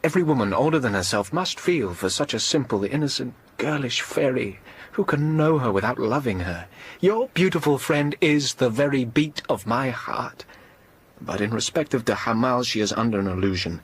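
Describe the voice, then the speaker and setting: An actor playing an earnest, lovesick young gentleman doctor in a radio drama, ardent and refined in manner. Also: mid-range voice, animated, normal speed, husky.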